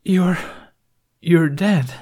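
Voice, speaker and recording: A man's voice: high-pitched, extremely slow, mellow, pitched above the performer's own voice, full character voice. A man voicing a confused, distraught male Jedi master whose voice cracks as he pleads and despairs.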